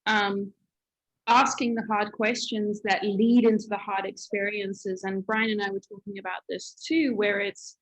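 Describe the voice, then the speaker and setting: A woman co-hosts a conversational interview over a video call. She speaks in an enthusiastic, affirming way and builds on what her guest says. Her pitch sits in the middle range. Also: flat delivery, measured pace, rough recording. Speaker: co-host.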